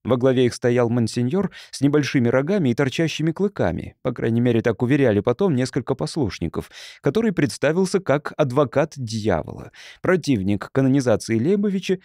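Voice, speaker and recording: A man's voice: mid-range voice, big pitch swings, brisk, few pauses, warm tone, no room sound, clean studio sound. A man reading literary prose as a scripted audiobook narrator.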